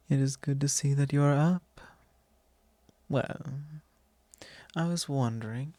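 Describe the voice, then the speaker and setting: A man voicing a doting, possessive vampire lord in an ASMR roleplay, with soft, genteel phrasing that slips into breathy whispers, close on the mic. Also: medium pitch, dry recording. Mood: courteous, inviting. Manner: soft, drawn-out, genteel old-fashioned phrasing. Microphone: close microphone.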